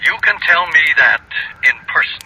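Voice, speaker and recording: A man's voice: fairly high pitch, expressive, normal speed, pushed and bright, low-quality recording. An actor playing a stern, anxious senator and overbearing father in a 1970s radio drama, insistent and commanding. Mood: stern, irritated. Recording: hissy, thin old radio recording.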